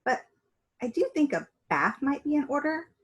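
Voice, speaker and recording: A woman's voice: high voice, very animated, moderate pace, voice pushed up. A woman voicing a dainty, dramatic and polite lady character in a children's storybook, with a theatrical manner. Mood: polite, relieved. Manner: theatrical, swinging from exclamation to sighing politeness.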